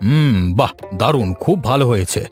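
A courteous, food-loving guest from a cartoon , acted by a man. He speaks at a middling pitch in an appreciative, savouring manner, with faint music behind. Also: animated, a little fast, a little mellow, close to an everyday voice. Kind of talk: cartoon story dialogue. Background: background music.

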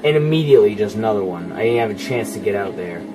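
A man with a lowish voice narrates his own horror game playthrough in a casual, unfiltered way, swearing freely and talking to the characters on screen. The recording is clearly noisy, with game ambience behind him. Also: lively intonation, fast talker. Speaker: gamer playing and commenting. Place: indoors, filming a TV screen while playing. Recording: noisy room capture with game sound.

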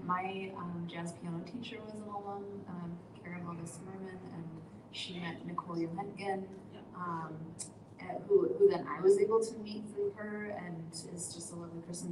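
A woman jazz musician on a panel, speaking in a friendly, casual way with fillers. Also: medium pitch. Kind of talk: panel discussion. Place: large indoor studio room, seated panel. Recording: roomy, distant, noisy.

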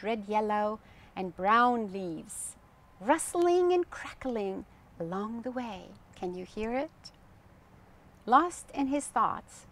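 An older woman reading a children's story aloud as a storytime host, in a gentle, expressive manner that addresses young listeners. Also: high-pitched. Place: outdoors on a garden bench.

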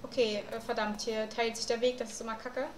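A woman's voice: on the high side, level tone, fairly quick, roomy sound, slight background noise. Young woman musing through a game. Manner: musing aloud, thinking through puzzles. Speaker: let's player. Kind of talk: casual game commentary and reading aloud.

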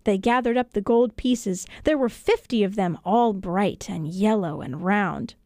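A woman's voice: mid-range voice, a little slow, dry recording. A woman storyteller narrating a scripted children's tale expressively, recorded in a studio. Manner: expressive, acts out dialogue. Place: radio studio.

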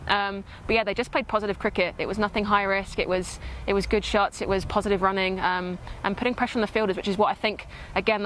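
A woman's voice: mid-range voice, fairly bright tone. A woman in her twenties from England, a player answering post-match interview questions outdoors on a lapel mic. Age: 20s. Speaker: cricket player. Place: outdoor cricket field. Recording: faint outdoor ground noise.

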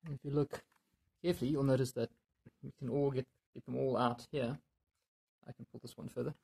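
A man giving casual hands-on DIY commentary, muttering and thinking aloud as he works, with a gravelly, slightly husky voice. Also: mid-range voice, steady tone, a little fast, a little mellow. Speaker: DIY video maker. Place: indoors in a home room.

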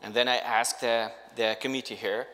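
A middle-aged man with an Iraqi accent and Arabic as his first language, giving an earnest, halting testimony in English. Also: mid-range voice, fairly even intonation, bright, forceful tone. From Iraq. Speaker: church guest giving testimony. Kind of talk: spoken personal testimony. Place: church sanctuary stage. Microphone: handheld microphone on a stand. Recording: reverberant church hall sound.

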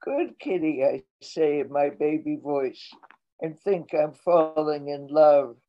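Older woman slowly reading her poems. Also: deep voice, lively intonation. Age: 80s. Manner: slow, measured reading voice. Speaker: poet reading her work. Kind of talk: poetry reading. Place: home room, indoors. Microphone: laptop webcam on video call. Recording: dull lo-fi video call audio.

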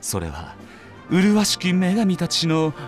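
Playful ladies' man, mock-grand, lively pitch swings, smug, game cutscene. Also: takes it slowly, faint music behind, exaggerated voice. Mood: smugly teasing. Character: teasing, flirtatious male soldier, playful braggart. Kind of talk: video game cutscene acting.

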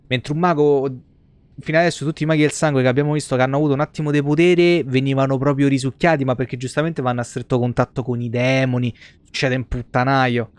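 A man's voice: medium pitch, steady tone, quick pace, clear, resonant tone, no echo. A man in his thirties with a Roman Italian accent gives casual, rambling, foul-mouthed live gameplay commentary. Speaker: game streamer. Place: small indoor streaming room.

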